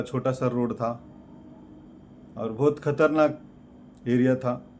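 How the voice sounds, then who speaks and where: Slow, halting man preaching. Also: medium pitch, a little mellow, slight background noise. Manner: slow, halting delivery with many repeated "Lord" and "Father" fillers. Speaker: preacher giving a testimony. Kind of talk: prayer and personal testimony.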